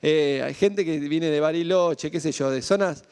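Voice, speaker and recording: An Argentine man with a Rioplatense accent preaches to his congregation in a loose, conversational way, stopping often to ask questions. His voice sits high for a man. Long reverb trails after his words, as if he is in a large hall. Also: moderate pace, a little mellow, clean tone. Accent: Argentine Spanish (Rioplatense). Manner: conversational, rhetorical questions, frequent "¿no?" tag. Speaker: pastor. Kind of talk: sermon.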